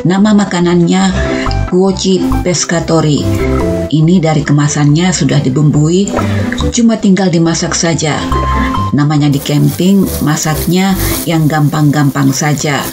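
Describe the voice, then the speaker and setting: A middle-aged woman narrating her travel cooking vlog as a voice-over, in a calm, explanatory way. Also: sits low, unhurried. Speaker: vlog host. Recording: narration over loud background music.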